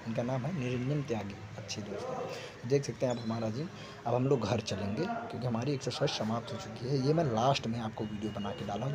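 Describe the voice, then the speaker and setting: A young man from an Indian village talking casually to viewers as a fitness vlogger, often repeating his phrases. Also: medium pitch. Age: young adult. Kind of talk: casual workout vlog talk to viewers. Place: gym, later outdoors on a busy street. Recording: noisy phone recording with loud ambient noise.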